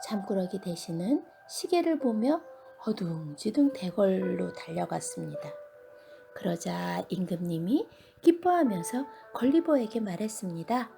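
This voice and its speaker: A woman reads a children's bedtime story with very lively intonation. Her voice has a creaky edge and often sinks to a dramatic hush, over faint background music. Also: mid-range voice, a little slow.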